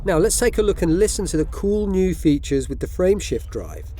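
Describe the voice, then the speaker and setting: A man's voice: sits high, very animated, fairly quick, mellow. A man hosting a game demo, delivering scripted narration and role-play commands as a spaceship commander. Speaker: video host and game commander.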